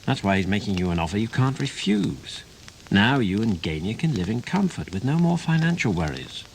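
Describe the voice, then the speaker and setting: A man's voice: fairly low pitch, sing-song, normal speed, gravelly, slight background noise, low-quality recording. An actor playing an insistent newspaperman in a radio play, firm and pressing. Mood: persuasive. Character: persuasive, insistent English newspaper heir and friend.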